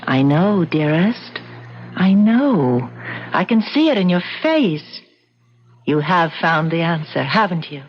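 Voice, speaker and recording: An actress playing a doting, sweetly probing wife, genteel and deliberate, in an old-time radio drama. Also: female voice, lowish voice, lively intonation. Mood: tender probing. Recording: muffled old radio recording.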